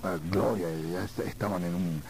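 A mature man from Argentina reminiscing in a conversational interview, haltingly, repeating words as he searches for the next. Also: sits low, fairly even intonation, creaky. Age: middle-aged or older. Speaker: singer being interviewed.

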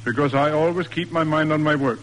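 An actor playing a stiff, proper middle-aged father in a classic radio drama, speaking in measured, roundabout formal sentences. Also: on the high side, a little hiss or hum, natural voice. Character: stiff, proper, reserved father in period New England. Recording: old radio recording, reverberant, music behind.

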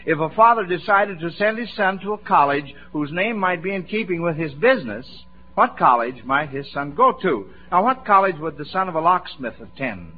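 A man's voice: fairly high pitch, very animated, moderate pace. An American man hosting a 1940s radio quiz show, folksy and encouraging, with chuckles in his talk. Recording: dull vintage radio broadcast recording.

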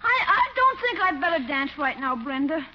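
Very high-pitched, whiny schoolboy. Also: male voice, big pitch swings, moderate pace. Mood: awkward, evasive. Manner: whiny, slangy, exclamatory. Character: sulky, wisecracking schoolboy with low confidence. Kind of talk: 1940s radio comedy acting. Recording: muffled, hissy old radio recording.

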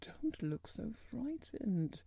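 A miserable middle-aged gentleman, acted out by a woman narrator, speaks in a very mellow, soft-edged tone that rises and falls freely. He is fretful and distracted, halting as though his thoughts are elsewhere. The storybook voice is recorded close and dry. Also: sits low, very animated, slightly rough, no echo, pitched below the performer's own voice, exaggerated voice. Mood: worried, wretched. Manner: absent-minded, halting, worried.

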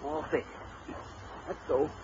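An American actor playing a boastful, bumbling husband with folksy, cocky patter in an old radio comedy. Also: male voice, slow pace, lightly acted. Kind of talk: 1930s-40s radio comedy acting. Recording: dull, noisy old radio recording.

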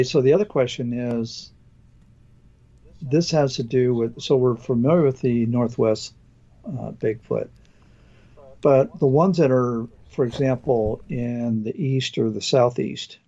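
A man's voice: mid-range voice, vocal fry, dark tone. A man hosting a podcast, asking questions and chiming in while he is losing his voice. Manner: conversational, asks questions, interjects often. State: losing his voice, clearing throat. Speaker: podcast host. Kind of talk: casual podcast chat. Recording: dull lo-fi sound.

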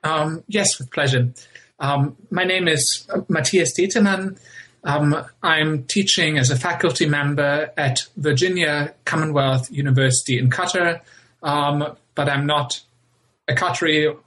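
A man from Germany in his thirties, a guest author in an interview, speaking in a measured way with many "um"s. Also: on the high side, sharp tone, rough recording.

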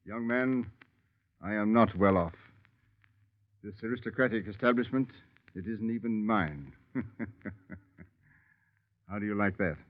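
A man's voice: lowish voice, a little slow, pauses often, natural voice. A proud old actor who has lost his fortune speaks in grand, pompous phrases, as a man acts him out. The old radio recording is dull and muffled. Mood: rueful. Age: older. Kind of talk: 1940s radio acting.

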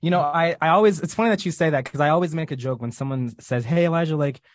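Eager, talkative young co-host. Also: male voice, on the high side, no echo. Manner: enthusiastic and talkative, quick to riff. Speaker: podcast co-host. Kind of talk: casual podcast interview.

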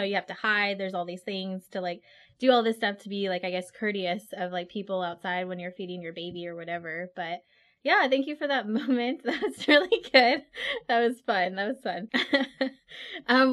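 A woman's voice: medium pitch, moderate pace, fairly bright tone. A woman hosting a podcast, chatting casually in a bubbly way with frequent laughter. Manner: bubbly, laughs often, many likes. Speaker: podcast host.

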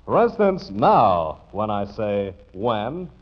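A man's voice: lowish voice, sing-song, slow pace. A man announcing an old-time radio variety show with jaunty, rhyming showman patter. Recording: dull old radio recording with band music.

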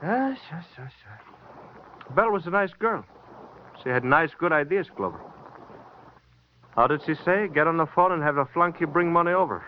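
A man's voice: a little high, expressive, normal speed, long pauses, noisy background, close to an everyday voice. An actor playing a menacing, mocking Greek-accented gunman in a 1940s radio crime drama, speaking broken English. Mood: gloating menace. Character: menacing Greek gunman, gloating shooting-gallery owner. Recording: dull lo-fi old radio recording.

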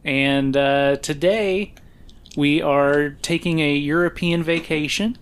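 A man with a slightly higher voice who hosts a podcast in relaxed, casual chat. His humor is dry and he teases his co-host. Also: slow, smooth.

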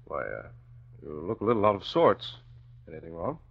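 An actor playing a calm, courteous young newspaper publisher with a measured, soothing manner, in a vintage radio drama. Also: male voice, low-pitched, creaky, full character voice. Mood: concerned, calm. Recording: muffled old radio recording.

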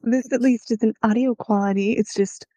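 A woman's voice: a little high, steady tone, moderate pace, dark tone, no echo. A woman hosting a podcast in a laid-back, casual conversational way. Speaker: podcast host. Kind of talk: casual podcast chat with some scripted intro.

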